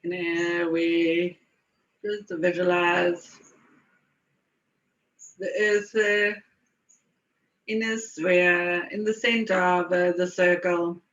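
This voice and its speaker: A woman slowly guiding a meditation in a soothing, unhurried way, with lingering pauses. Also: lowish voice, steady tone, a bit bright. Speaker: guided meditation leader. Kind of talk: slow guided meditation. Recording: dull, echoey room recording.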